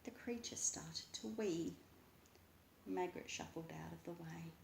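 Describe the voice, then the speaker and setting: Slow woman storyteller in an echoey room. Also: lowish voice, creaky, a little mellow, a little hiss or hum. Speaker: story reader. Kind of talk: expressive children's book narration. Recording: roomy, echoing voice.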